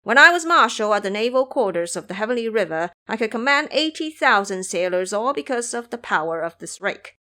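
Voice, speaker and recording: A woman's voice: on the high side, big pitch swings, fairly quick, hardly any pauses, bright, forceful tone. A woman voicing a boastful, foolish male pig monk with a brash, bragging manner in an audio drama. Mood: proud.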